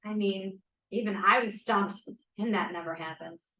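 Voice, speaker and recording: A woman reading the part of a confident, principled young scientist in a period drama, measured and earnest. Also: medium pitch, sharp tone, distant mic. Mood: wary, candid. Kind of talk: table read of a period drama. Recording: echoey room.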